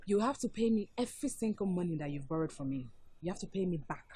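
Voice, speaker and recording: A woman's voice: high voice, sing-song, fairly quick. A woman acting a fed-up, scornful girlfriend in a melodrama, scolding emphatically. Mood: grudging. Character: fed-up, scornful girlfriend rejecting her sponging boyfriend.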